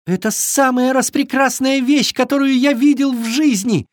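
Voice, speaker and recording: A man's voice: very high voice, moderate pace, no echo, studio quality, voice pushed up. A man voicing a playful, petulant young fawn in a children's story, with childlike exclamations. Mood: delighted.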